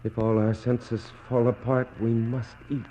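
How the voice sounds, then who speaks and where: A man acting a despairing British naval captain in a 1960s radio play, declaiming in anguished, bitter soliloquy that swings between hushed prayer and outcry. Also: medium pitch, flat delivery, a little slow, lightly acted. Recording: dull, noisy 1960s radio recording.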